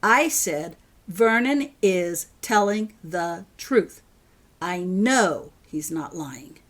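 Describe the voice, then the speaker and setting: A woman's voice: fairly low pitch, lively intonation, very slow. Young daughter role for an audiobook, read by a woman: clever, composed, insistent, never gives up. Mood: urgent. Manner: insistent, persistent.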